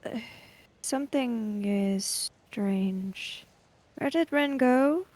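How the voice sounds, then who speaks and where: A woman's voice: fairly high pitch, very slow, a little mellow. A woman playing a polite female samurai in a tabletop game, formal, hesitant and puzzled. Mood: confused.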